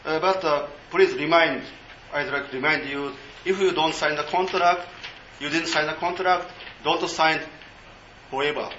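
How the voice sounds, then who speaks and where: A man giving an informal seminar talk in English, halting and full of fillers. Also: a little high, fairly even intonation, sharp tone, roomy sound, lo-fi sound, slight background noise. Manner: halting, many fillers, non-native English.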